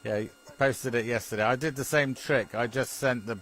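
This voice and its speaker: A man's voice: mid-range voice, steady tone, moderate pace, a bit bright. A British man, a radio hobbyist, chatting casually about technical matters in a hesitant, chuckling way and often repeating his phrases. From Britain. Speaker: amateur radio enthusiast.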